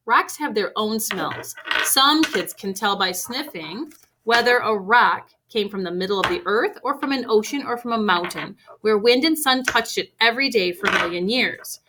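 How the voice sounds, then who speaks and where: A woman reading a picture book aloud to children expressively, with deliberate emphasis on key phrases. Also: on the high side. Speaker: read-aloud storyteller for children. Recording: faint background noise.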